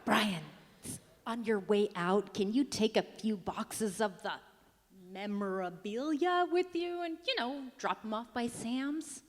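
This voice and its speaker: An actress playing a patient, practical wife in a live radio comedy, placating and a little halting. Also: female voice, fairly high pitch. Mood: practical, accommodating. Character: patient, practical wife managing her eccentric husband. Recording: live stage in a reverberant hall.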